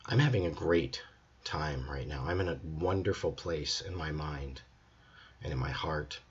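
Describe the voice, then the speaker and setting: A hoarse young man, a drama student, talking earnestly and reflectively in a personal video journal. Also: a bass voice, a little slow, muffled recording. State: hoarse, voice hard to hear.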